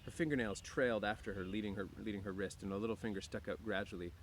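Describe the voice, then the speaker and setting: A man's voice: fairly low pitch, fast talker, slight background noise. A man reading a novel aloud as narrator, occasionally stumbling and rereading words. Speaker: audiobook narrator.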